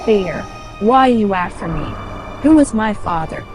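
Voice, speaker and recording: A woman's voice: mid-range voice, lively intonation, normal speed, music in the background, close to an everyday voice. A woman playing a distraught young heroine insistently demanding the truth in a sci-fi audio drama. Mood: angry, hurt. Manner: insistent, emotional.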